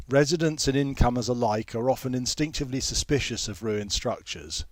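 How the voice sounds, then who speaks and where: A man's voice: mid-range voice, a little mellow, no echo. Man reading literary fiction, closely recorded. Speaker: author reading own novel. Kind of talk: literary audiobook narration.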